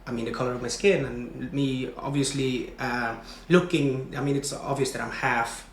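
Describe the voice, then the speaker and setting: A man's voice: mid-range voice, steady tone, moderate pace. Young Swedish-native man, guest, hesitant and filler-heavy, echoey room with faint hiss. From Sweden. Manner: hesitant, many fillers and restarts. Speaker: podcast guest. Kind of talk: casual conversation.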